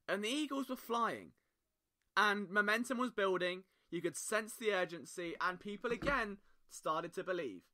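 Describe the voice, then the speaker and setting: Bright, high-voiced man, impassioned. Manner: impassioned, emphatic rhetorical questions. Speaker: podcast host. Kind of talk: solo sports podcast monologue.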